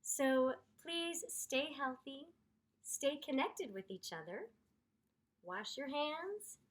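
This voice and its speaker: High-voiced, animated woman storyteller. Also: slow. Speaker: storyteller, voice actor. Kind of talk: expressive children's story read-aloud.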